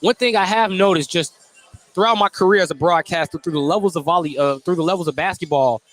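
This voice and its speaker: A high-voiced man works as a radio play-by-play announcer for basketball. He follows the action in short, clipped phrases, naming each move as it happens. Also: fast talker, a bit bright, low-quality recording. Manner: clipped running descriptions of the action. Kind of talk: live basketball play-by-play commentary. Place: at a basketball game in a gym.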